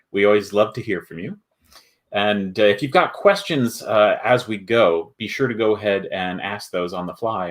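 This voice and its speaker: A man hosting a casual livestream, chatting with viewers in a halting way as he thinks aloud. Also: on the low side. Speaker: host of a board game company livestream.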